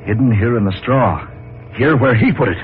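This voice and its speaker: An actor playing an agitated, obsessed farmer in an old-time radio drama, with intense, urgent delivery. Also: male voice, medium pitch, lively intonation, moderate pace, faint music behind, close to an everyday voice. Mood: feverish certainty. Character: agitated male farmer obsessed with a prophetic dream. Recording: scratchy, narrow-band old radio broadcast.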